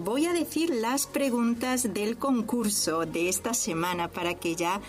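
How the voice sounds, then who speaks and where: A woman radio host speaks in a medium-pitched voice over faint music. She is chatty and informal, drifting into asides as she presents a religious programme. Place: radio studio in Barcelona.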